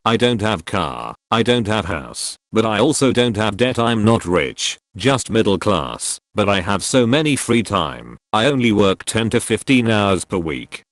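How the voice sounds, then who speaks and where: A man narrating forum posts and their replies in a steady reading voice. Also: on the low side, no room sound, studio quality. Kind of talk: scripted narration.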